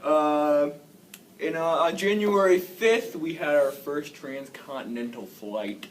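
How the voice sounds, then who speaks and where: A man's voice: on the high side, takes it slowly. Male news anchor, amateur, laid-back, hesitant, offhand asides, far from the mic, faint noise. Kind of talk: casual, loosely scripted news presenting.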